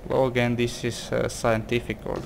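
Croatian guy doing a let's play, gravelly voice, hesitant, reads stuff out, faint hum behind. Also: mid-range voice, level tone, moderate pace. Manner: reads game text aloud, hesitant, exclamatory. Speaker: gameplay commentator. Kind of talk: casual let's-play commentary.

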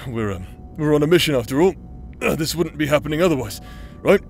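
A man's voice: on the high side, lively intonation, quick pace, soft background music. A man acting out a cocky, battle-worn adventurer, protective and teasing, in an audio roleplay. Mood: tender, flustered.

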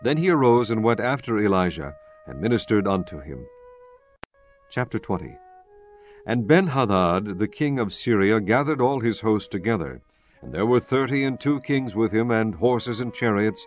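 Casting: man with a fairly low voice, solemn, measured scripted Bible narration over faint music. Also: animated. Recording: music bed under the voice. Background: background music.

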